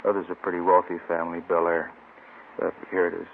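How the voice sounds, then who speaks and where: An actor playing an easygoing, matter-of-fact detective, talking in casual, laconic lines in a vintage radio drama. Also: male voice, low voice, monotone, fast talker, creaky. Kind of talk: 1950s radio police drama acting. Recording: tinny old radio recording, faint noise.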